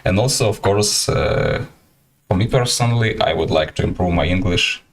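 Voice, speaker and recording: Ukrainian-accented male host, very low voice, halting casual livestream chat. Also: creaky. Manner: halting, many fillers and restarts.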